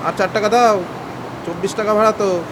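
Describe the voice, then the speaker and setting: A man's voice: sits high, steady tone, a little fast, natural voice. A man playing a brusque, impatient bus conductor in an audio story, hectoring and repeating his calls in colloquial speech. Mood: irritated, scolding. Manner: hectoring, repetitive calling out, colloquial. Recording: clear street and vehicle noise behind. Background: bus engine and traffic sound effects.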